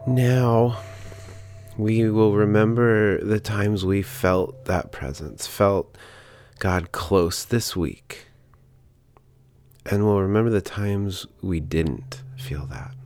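A man leading a guided prayer meditation in a calm, gentle, unhurried manner with pauses. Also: on the low side. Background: background music.

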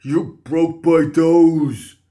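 A man's voice: a little high, steady tone, very slow, warm tone, voice pushed up. A man voicing a terrified male guard in an audiobook, wobbly, stammering and moaning, feebly defiant. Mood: pained, panicked. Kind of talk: audiobook character voice.

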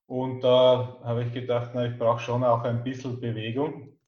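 An Austrian man in his fifties speaks as a podcast guest. He keeps to a narrow, even pitch and picks his words with some hesitation. The recording is dull and echoey. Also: normal speed. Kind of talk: casual interview about his life. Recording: dull, echoey remote-call sound.

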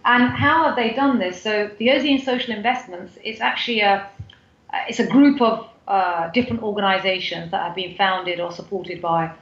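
A woman's voice: medium pitch, moderate pace, echoey room, highs cut off. A woman from the UK with a British accent, a guest on a podcast interview, talking in a chatty way with many fillers and restarts. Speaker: guest, foundation CEO.

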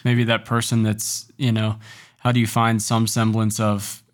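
A man's voice: lowish voice, completely flat delivery, unhurried. A man who covers pro football, co-hosting a casual podcast chat and chiming in with eager questions and encouragement. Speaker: sports reporter and podcast host.

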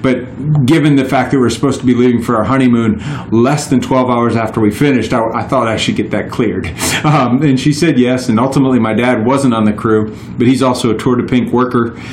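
A man's voice: medium pitch, a little fast, few pauses, a little mellow, reverberant, a little hiss or hum. A man in his forties, a guest on a podcast, telling his stories in a casual, rambling way with many restarts. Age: 40s. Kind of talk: casual chat.

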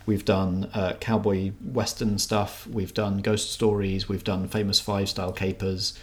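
Low-voiced guy, podcast game master, casual chat, kinda stop-start phrasing. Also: flat delivery, unhurried.

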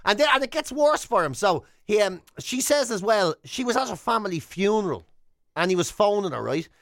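A man with an Irish accent co-hosting a comic radio show, telling stories in an excitable, rambling way. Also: sits high, fairly quick, no room sound, studio quality.

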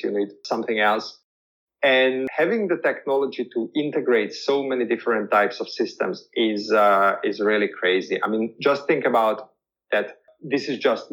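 Man, Hungarian native speaker, interview guest, thoughtful, restarts words, mid pitch, dull lo-fi sound. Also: a bit bright. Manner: thoughtful, repeats words while searching for phrasing. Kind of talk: interview answer. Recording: dull lo-fi audio.

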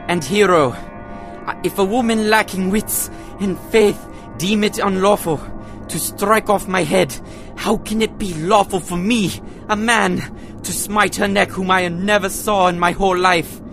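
A man's voice: sits high, steady tone, a little slow, fairly bright tone. A man playing an earnest, courtly young prince in an audio drama, telling his tale in archaic prose and verse. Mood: pleading. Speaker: narrator. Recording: music under narration.

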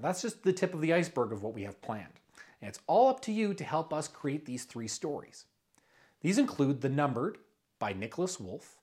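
A man reading a scripted promotional pitch as a creative director, with dramatic storyteller-like emphasis. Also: a little mellow.

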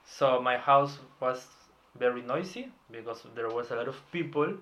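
A man in his thirties from Peru, talking in a relaxed, hesitant way as a podcast interview guest. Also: medium pitch, normal speed. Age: 30s. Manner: reflective, hesitant, with light laughs. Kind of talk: casual personal interview.

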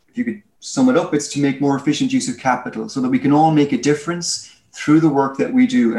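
A man's voice: medium pitch, a little mellow. A man with an Irish accent giving articulate, explanatory interview answers in a storytelling way. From Ireland. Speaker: guest, head of a research firm. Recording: roomy, echoey sound.

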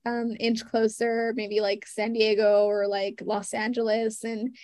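A young woman in her twenties, a guest journalist chatting casually in an interview, full of "like" and "you know" and quick laughs. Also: a little high, little pitch movement, darkish tone, no room sound.

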